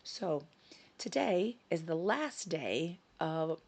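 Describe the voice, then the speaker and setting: Slightly hoarse, low-voiced woman vlogger. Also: animated, drawn-out words, a little mellow. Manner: chatty, with frequent ums and self-corrections. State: slightly hoarse, scratchy throat. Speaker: channel host. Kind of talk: casual vlog chat. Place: her home in Sicily.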